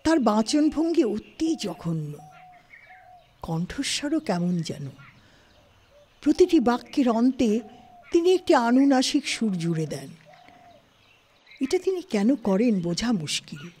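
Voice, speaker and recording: A woman narrating a literary story in an expressive, scripted style. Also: on the high side, takes it slowly, long pauses, husky. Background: music.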